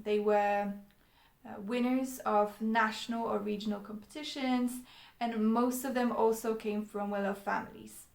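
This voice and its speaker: A woman's voice: fairly high pitch, gravelly. A young Polish woman tells her personal story straight to camera, speaking with few rises or falls. She is earnest and often stops on an um or restarts a phrase. The room around her sounds echoey. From Poland. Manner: earnest, with frequent ums and restarts. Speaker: vlogger.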